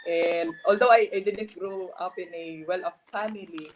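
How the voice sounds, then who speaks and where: A woman's voice: on the low side, steady tone, moderate pace, a little hiss or hum, low-quality recording. A woman with a Filipino accent, a guest on a talk show, answering earnestly with light laughs. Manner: earnest, laughs between phrases. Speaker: guest, new bar passer. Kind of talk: interview answers.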